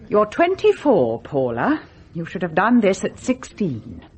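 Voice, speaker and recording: A woman's voice: medium pitch, wildly swooping pitch, measured pace, a little mellow, slightly rough, exaggerated voice. An actress playing a brittle, sardonic middle-aged society wife and mother in a radio drama, arch and drawling. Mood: scornful.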